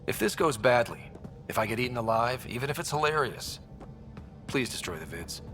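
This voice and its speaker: A man's voice: mid-range voice, very animated, brisk, close to an everyday voice. A man playing a young human explorer who commands a spaceship in a video game, plucky and wry. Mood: wry resolve. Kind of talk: video game cutscene acting. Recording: loud music behind the voice.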